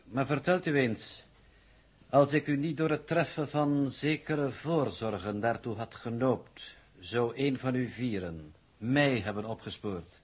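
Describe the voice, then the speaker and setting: Husky, pompous suave villain. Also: male voice, mid-range voice, a little slow. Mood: coolly mocking. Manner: formal, pompous, over-polite. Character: suave, coolly menacing male captive saboteur, mockingly courteous. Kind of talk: 1960s Dutch radio drama acting.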